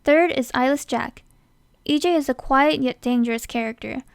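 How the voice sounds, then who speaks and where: Girl reading out a casting call script, high clear voice, dry recording. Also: moderate pace, dry recording. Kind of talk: scripted narration.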